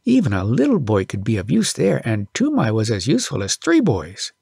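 A man narrating an audiobook as an expressive storyteller. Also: mid-range voice, fairly quick, creaky, breathy edge, no echo, studio quality.

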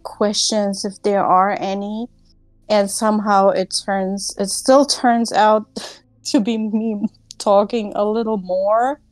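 A woman's voice: medium pitch, a little mellow, no room sound. A woman, hosting an online class over a video call in a casual, giggly way full of fillers. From Germany. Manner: chatty, giggly, many fillers. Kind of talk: casual group call chat.

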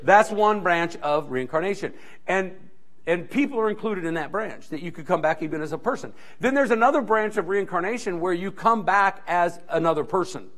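A man's voice: sits high, moderate pace. A middle-aged male pastor delivering a sermon on a church stage into a handheld mic, emphatic and repeating key phrases as he moves around. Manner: animated, strolling the stage and gesturing.

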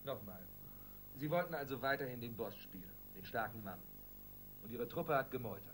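An actor playing a polite, probing and insistent police interrogator in a radio crime play. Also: male voice, mid-range voice, animated, brisk, a bit bright, a little hiss or hum, lightly acted.

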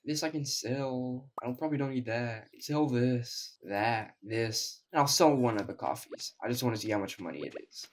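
A man's voice: mid-range voice, moderate pace, few pauses, a little hiss or hum. Sick young man, wry game commentary. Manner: wry, self-mocking, deadpan asides. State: sick, weak voice. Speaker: gaming YouTuber. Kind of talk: casual gameplay commentary.

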